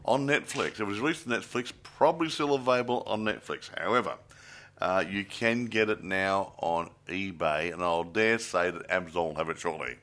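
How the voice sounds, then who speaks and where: A man co-hosting a film podcast with a deep voice, offhand and jokey, trailing off his sentences. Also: normal speed, a bit bright. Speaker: podcast co-host. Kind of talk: casual chat.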